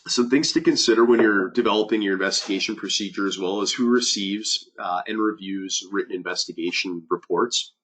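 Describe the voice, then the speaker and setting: Deep-voiced guy w a stuffy cold, safety advisor teaching, lots of uhs and restarts. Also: fairly bright tone, low-quality recording. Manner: halting, many fillers and restarts. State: nasal from a cold. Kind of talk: webinar presentation.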